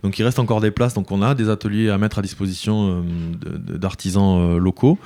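Male interview guest, smooth and mellow, halting explanatory delivery. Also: lowish voice, steady tone. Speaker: interview guest, village mayor. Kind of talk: conversational interview answers.

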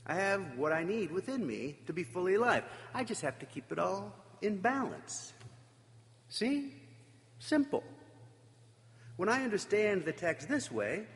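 A man preaching a reflective sermon, emphatic at times and pausing for effect. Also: sits high, big pitch swings, big-room sound. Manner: reflective, emphatic with dramatic pauses.

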